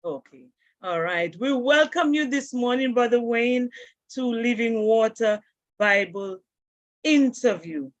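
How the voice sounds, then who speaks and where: A woman's voice: a little high, expressive, slow pace, no echo. A woman hosting an online interview and greeting her guest and audience over a video call. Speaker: interview host. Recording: dull dry video-call audio.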